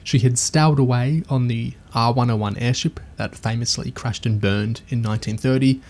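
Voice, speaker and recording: A man with a medium-pitched voice hosts a discussion podcast. He speaks as an engaged fan reviewer, explaining things to listeners and sharing his enthusiasm. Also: fairly even intonation, normal speed, darkish tone.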